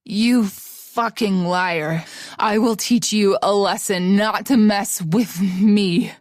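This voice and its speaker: Woman voicing enraged drunk man, slow menacing shouts, dry sound. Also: fairly low pitch, no room sound. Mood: raging.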